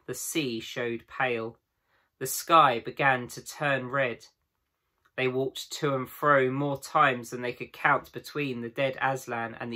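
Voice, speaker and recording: A man's voice: mid-range voice, sharp tone. A man in his thirties narrating a children's storybook aloud, as a teacher would to pupils. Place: small room at home with bookshelves.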